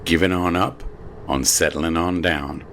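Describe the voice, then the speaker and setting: A man reading screenplay action lines in a measured, descriptive narration. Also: sits low, steady tone, a bit bright, slight background noise.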